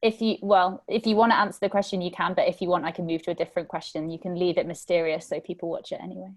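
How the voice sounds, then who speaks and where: A woman hosting a casual interview over a video call, asking questions in a relaxed way with fillers. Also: on the low side, racing. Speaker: host.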